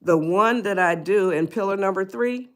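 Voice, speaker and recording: A woman of eighty-one, chatting slowly to viewers on her live stream, often losing her place and laughing. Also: mid-range voice, heavy background noise. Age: 80s.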